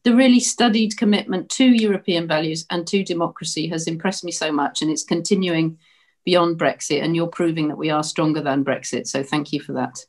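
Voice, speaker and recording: A woman's voice: low voice, steady tone, fairly quick. A woman from Britain giving earnest, outspoken welcoming remarks as co-host of an online discussion over a video call.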